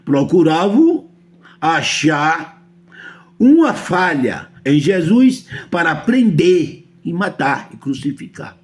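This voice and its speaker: Emphatic Brazilian preacher man, lively pitch. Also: takes it slowly. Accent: Brazilian Portuguese. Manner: emphatic, repeatedly asks listeners if they understand. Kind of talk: sermon.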